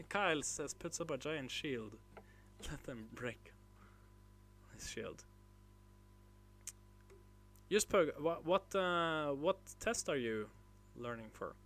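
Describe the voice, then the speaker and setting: Slow-talking man, halting. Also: on the high side, animated, fairly bright tone. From northern Norway. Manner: halting, lots of filler sounds and restarts. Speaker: livestream host. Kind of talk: casual livestream chat, reading chat messages.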